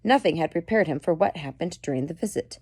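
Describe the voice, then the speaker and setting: A woman's voice: on the low side, animated, fast, warm tone, breathy edge, dry recording. A mother reading a book aloud to her children at home, stopping often to comment and explain. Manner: chatty, stops to comment and explain to listeners.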